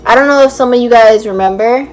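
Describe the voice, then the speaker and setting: A young woman with a fairly high, hoarse voice that keeps cracking, talking casually to her camera in a chatty, storytelling way. Light music plays faintly underneath. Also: fast talker. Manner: chatty storytelling, laughs at own jokes. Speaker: vlogger.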